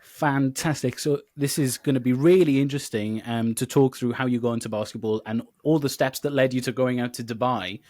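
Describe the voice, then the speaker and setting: A man's voice: mid-range voice, fairly even intonation, a little fast, hardly any pauses, a little mellow, dry recording. A man hosting a sports podcast, asking questions and encouraging his guest. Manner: upbeat, encouraging interjections. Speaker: podcast host. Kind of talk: casual interview chat.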